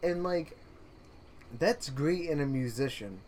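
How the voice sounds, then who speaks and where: Rambling earnest young man, fairly high voice. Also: animated, takes it slowly, a little mellow. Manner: rambling, earnest, with restarts and laughs. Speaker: podcast co-host. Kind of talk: casual chat.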